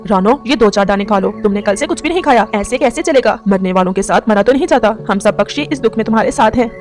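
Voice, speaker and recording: Woman as an earnest, quick-talking pigeon friend. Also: medium pitch, darkish tone, faint music behind, voice pushed down, close to an everyday voice. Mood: consoling. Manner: consoling, earnest. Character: kind male pigeon friend, protective and earnest. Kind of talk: melodramatic Hindi cartoon story acting.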